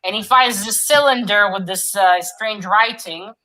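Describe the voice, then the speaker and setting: A woman's voice: medium pitch, unhurried, a loud crowd, rough recording. A woman talking casually and informally as she retells a story in a book discussion.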